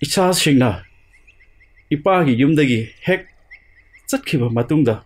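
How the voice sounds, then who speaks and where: A man's voice: on the high side, animated, rapid-fire, close to an everyday voice. An actor playing a loving, dying father who speaks tenderly to comfort his daughter in an audio drama. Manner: tender, consoling. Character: dying middle-aged father, gentle and loving.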